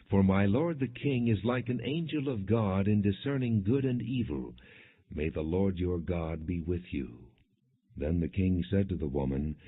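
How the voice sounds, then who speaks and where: A man with a very low voice reads scripture in a measured, formal way, as an audiobook narrator would. His voice is rather husky and rough-edged, and the recording is narrow and muffled, much like a phone line. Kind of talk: scripted scripture narration, non-dramatized reading.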